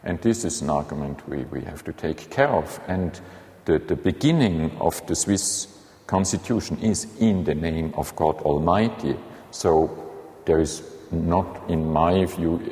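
A man explaining his view in an interview, speaking deliberately and carefully. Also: a bass voice, dark tone, reverberant, long reverb tail. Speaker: interviewee, society representative.

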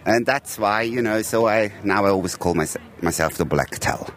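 A man from Switzerland telling a personal story in an outdoor street interview, chatty and warm. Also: fairly low pitch, normal speed, a little hiss or hum. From Uri, Switzerland. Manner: chatty storyteller, says "you know". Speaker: member of the public. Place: outdoors at a gathering.

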